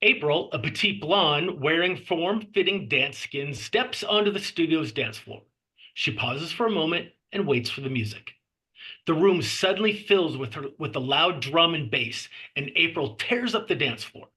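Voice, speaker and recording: A man's voice: fairly high pitch, lively intonation, moderate pace, sharp tone, low-quality recording. A man reading stage directions as narrator at a script table read.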